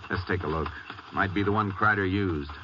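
Man playing a low-voiced, steady Texas Ranger. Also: moderate pace, over music, natural voice. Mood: focused. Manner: laconic, matter-of-fact. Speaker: lead detective and narrator. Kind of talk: 1950s radio western acting. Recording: very noisy, muffled old radio recording.

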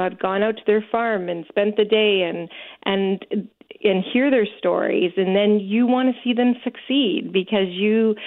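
A woman who owns a store, a guest on a talk show, speaking with enthusiasm and many fillers. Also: mid-range voice. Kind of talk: casual interview chat. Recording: tinny phone-like sound.

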